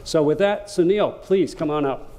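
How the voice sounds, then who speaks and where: A man's voice: on the high side, moderate pace, mellow. A man giving an informal public address as an introducer, folksy and conversational, often tossing out questions and tags to the listeners. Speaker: library foundation representative introducing a speaker.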